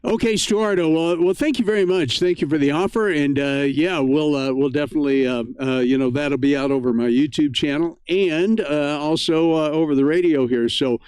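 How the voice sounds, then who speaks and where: An American man hosting a ham radio net in a casual, halting, effusively thankful way. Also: fairly high pitch, darkish tone, smooth, dry recording.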